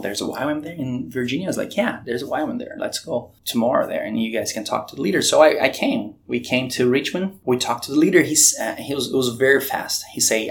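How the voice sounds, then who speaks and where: Brazilian guy with a gravelly voice, chatty, lots of "you know", faint hiss behind. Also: mid-range voice. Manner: storytelling, acts out quoted dialogue, frequent fillers. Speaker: missionary leader, guest. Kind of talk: conversational testimony interview.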